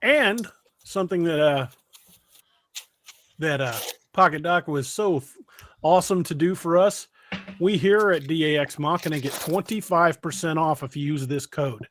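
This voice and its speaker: A man's voice: on the high side, normal speed, long pauses, fairly bright tone, a little hiss or hum. A middle-aged man hosting a casual livestream, talking in a folksy, earnest way. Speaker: podcast host.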